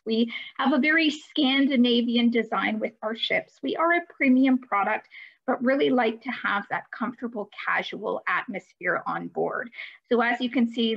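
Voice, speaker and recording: A woman's voice: fairly high pitch, creaky. A woman giving a friendly, upbeat webinar presentation over a video call, with light hesitations. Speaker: cruise line presenter. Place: home office. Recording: muffled video call audio.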